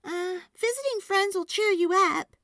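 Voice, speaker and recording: A woman's voice: extremely high pitch, a little slow, bright, forceful tone, no echo, clean studio sound, pitched above the performer's own voice, exaggerated voice. A woman voicing an earnest, stubborn, devoted little girl in a storybook, with heartfelt, hesitant phrasing. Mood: hopeful, concerned. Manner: hesitant ums and huhs, heartfelt.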